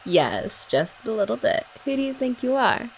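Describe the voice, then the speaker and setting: A young woman chats playfully in an ASMR girlfriend roleplay, teasing her listener. Her voice has a gravelly fry and moves lively through her range. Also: fairly high pitch, moderate pace, slight background noise, rough recording. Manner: playful, teasing, chatty with frequent laughs.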